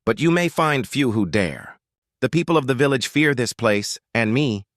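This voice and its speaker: A British man voicing a reclusive, gentle baron who speaks in a quiet, measured, wry and resigned way. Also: medium pitch, lively intonation, dry recording, studio quality, voice pushed up, lightly acted. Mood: grave resignation.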